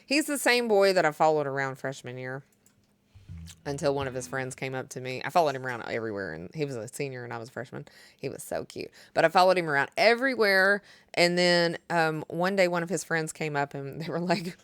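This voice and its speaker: A woman's voice: deep voice, animated, fairly quick, fairly bright tone, clean tone, no room sound. Chatty woman with a Kentucky accent. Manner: chatty, candid storytelling with frequent laughs. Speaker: livestreaming singer-guitarist. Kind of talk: casual chat with stream viewers between songs. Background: acoustic guitar.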